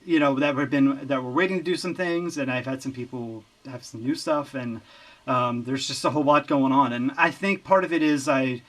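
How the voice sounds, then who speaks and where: Laid-back, halting male guest. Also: medium pitch, fairly bright tone. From Lehigh Valley, Pennsylvania. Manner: easygoing, halting, with many fillers and restarts. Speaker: recording engineer and musician guest. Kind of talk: casual interview chat.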